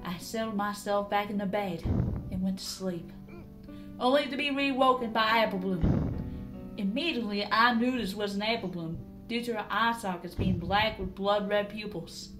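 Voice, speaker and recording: A woman's voice: mid-range voice, normal speed, natural voice, low-quality recording. A woman voicing a frightened young farm mare confessing and pleading, in a dramatic fan fiction reading. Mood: haunted, recounting. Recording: background music under the voice.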